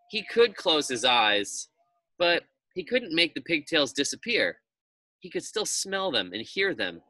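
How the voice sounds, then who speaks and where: A man reads a children's story with very animated pitch. His voice is bright and forceful, recorded dry and close, in an expressive storyteller's delivery. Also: dry recording, poor audio. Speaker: read-aloud storyteller.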